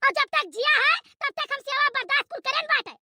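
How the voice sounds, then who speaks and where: A woman's voice: extremely high pitch, fast, bright, forceful tone, exaggerated voice. A woman voicing a fierce, quarrelsome old village mother-in-law in a cartoon, with a scolding manner. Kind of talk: cartoon voice acting.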